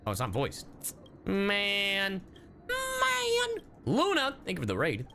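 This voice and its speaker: A man's voice: high voice, huge pitch swings, slow, vocal fry, bright, forceful tone, slight background noise. A man streaming a game live, commenting playfully and theatrically, often exclaiming and repeating himself. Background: game music.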